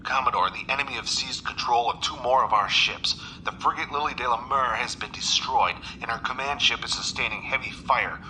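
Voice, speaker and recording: An actor playing a dutiful military lieutenant in a sci-fi audio drama, making formal, urgent reports. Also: male voice, medium pitch, fairly quick, few pauses, sharp tone. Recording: echoey hall reverb, noisy ambience.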